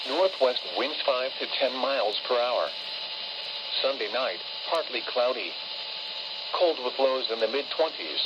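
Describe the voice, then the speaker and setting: A man's voice: mid-range voice, animated, measured pace. A synthetic man's voice reading a formal, scripted weather forecast as an automated radio announcer. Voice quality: synthetic text-to-speech voice. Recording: muffled radio broadcast with loud static. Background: radio static.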